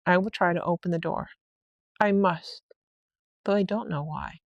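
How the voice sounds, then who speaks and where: An anxious, brooding gentleman of a great house, acted out by a woman reader. He questions in a troubled way, as in a storybook read aloud. The sound is intimate and dry, with a little creak. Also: fairly low pitch, moderate pace, dry recording, lo-fi sound. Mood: resolved, uncertain.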